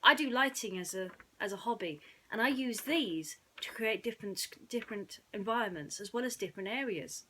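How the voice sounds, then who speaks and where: Animated, bright-voiced woman telling children's stories. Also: medium pitch, a little fast, few pauses, a little hiss or hum. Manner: playful, theatrical, chatty asides. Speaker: children's bedtime story reader.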